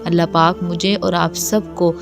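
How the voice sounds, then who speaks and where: A woman speaks as a religious lecturer in a fairly low voice. She explains and admonishes in a didactic way, with music clearly playing behind her. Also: little pitch movement, a little slow. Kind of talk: religious explainer talk to camera or microphone. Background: background music.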